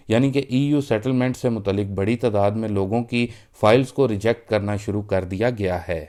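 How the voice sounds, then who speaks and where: A man's voice: on the low side, darkish tone. A man presenting a news roundup for his online channel, reading the updates steadily and addressing viewers as friends. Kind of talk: read news update in Urdu.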